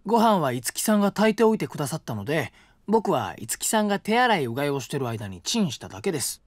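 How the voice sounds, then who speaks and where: An actor playing a polite, gentle young college student who cooks and patiently explains recipes. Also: male voice, fairly high pitch, very animated, fast talker, no echo, clean studio sound. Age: young adult. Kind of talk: studio audio drama. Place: dry studio.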